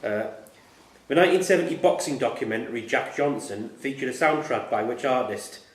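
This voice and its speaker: A man's voice: medium pitch, expressive, a little fast. A man acting as quizmaster, reading quiz questions aloud in an echoey club room.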